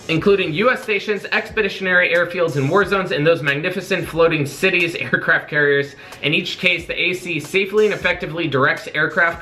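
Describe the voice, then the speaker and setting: A man's voice: fairly high pitch, pushed and bright. A young American man presenting an informational vlog casually, switching between reading aloud and informal, jokey asides. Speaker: video creator, Navy corpsman.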